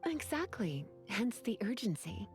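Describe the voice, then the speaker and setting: A woman's voice: fairly high pitch, very animated, unhurried, music in the background. Coy, genteel, lilting lady. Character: sultry, teasing, self-assured adult woman librarian. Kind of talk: video game character dialogue.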